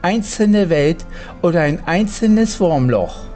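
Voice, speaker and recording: Slow-paced, expressive male game commentator. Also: high-pitched, faint music behind. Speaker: gaming streamer playing and reading dialogue. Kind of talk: let's play commentary.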